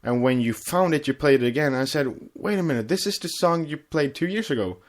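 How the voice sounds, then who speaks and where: Halting man chatting on a podcast. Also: on the high side, lively intonation. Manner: halting, rambling, repeats words. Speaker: podcast co-host. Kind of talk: casual fan podcast chat.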